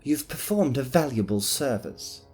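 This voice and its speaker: A man's voice: mid-range voice, expressive, measured pace, darkish tone, breathy edge, soft background music. A man voicing a greedy, haughty nobleman in an audio drama, speaking in a formal, mannered way. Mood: prying. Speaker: voice actor in a fan audio drama.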